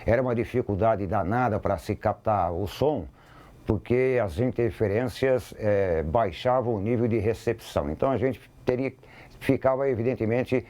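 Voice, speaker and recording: A man's voice: lowish voice, moderate pace, warm tone. A Brazilian man, a radio sports reporter, casually recalling his work in an interview.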